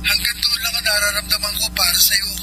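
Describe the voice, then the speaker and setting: A man playing a gentle, earnest young suitor in a radio drama, sincere and hesitant. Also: high-pitched, little pitch movement, fairly quick, over music, lightly acted, poor audio. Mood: earnest, uneasy. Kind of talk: Filipino radio drama acting.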